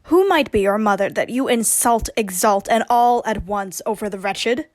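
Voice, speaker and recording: A woman's voice: mid-range voice, moderate pace, no room sound, studio quality, lightly acted. A woman acting a bossy, mocking heroine disguised as a youth in a Shakespearean radio play, scolding and teasing theatrically. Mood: scornful.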